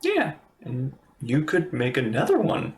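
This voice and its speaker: A woman reacting casually to a video game as a streamer, expressive, playful and emotionally invested. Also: a bass voice, a little slow, a crowd in the background. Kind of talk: casual live reaction commentary.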